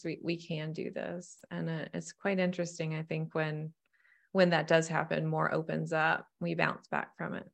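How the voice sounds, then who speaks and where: A woman with a fairly low voice talks in a relaxed podcast chat. She is a medium, and she tells her stories with energy. She acts out each back-and-forth as she goes. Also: vocal fry. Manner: animated storytelling, acting out quoted dialogue. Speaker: spiritual medium. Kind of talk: casual podcast conversation.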